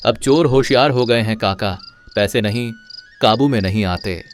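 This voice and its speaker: A man's voice: lowish voice, moderate pace, slight background noise, lightly acted. A man playing a plain-spoken, familiar village farmhand in a dramatized moral story. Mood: teasing.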